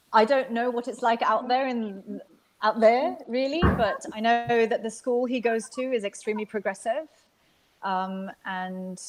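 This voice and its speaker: Middle-aged woman speaking hesitantly on a panel. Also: mid-range voice. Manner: hesitant, searching for words. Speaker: actor on a discussion panel. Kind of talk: informal panel conversation.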